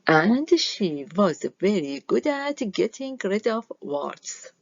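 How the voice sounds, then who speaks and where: Slow, expressive woman reading a storybook. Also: mid-range voice, gravelly, dry recording, muffled recording. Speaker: storybook reader. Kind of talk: expressive read-aloud of a children's story.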